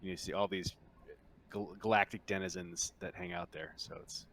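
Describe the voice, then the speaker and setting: A man narrating a tabletop game as game master, in a halting, informal, conversational way. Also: deep voice, very animated, gravelly, fairly bright tone, a little hiss or hum.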